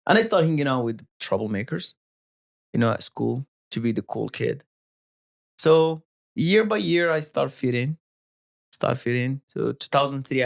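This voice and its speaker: Moroccan guy in his 30s, gravelly voice, broken english, keeps restarting sentences. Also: mid-range voice. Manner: halting, searching for English words, restarts. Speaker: podcast guest. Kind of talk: casual storytelling interview.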